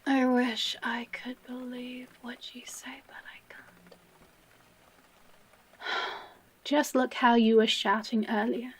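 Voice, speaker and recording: A woman playing an obsessive, possessive librarian captor in a dramatic audio roleplay, breathy and often whispering, with theatrical pauses and a tenderly menacing manner. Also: fairly high pitch, level tone, slight background noise. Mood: sorrowful, insistent.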